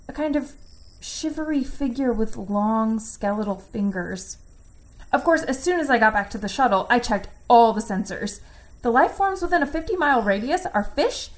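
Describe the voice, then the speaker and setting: A woman playing an earnest, self-critical corporate worker recording a log entry in an audio drama, speaking in stilted, conscientious self-help jargon. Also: sits high. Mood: unsettled, hesitant, then anxious, methodical.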